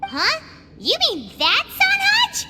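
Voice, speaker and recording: Very high, squeaky fairy sidekick. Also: female voice, slow, heavily acted. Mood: curious. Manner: squeaky, excitable, inquisitive. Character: tiny childlike fairy companion, chirpy and eager. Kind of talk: video game voice acting. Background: tinkling game music.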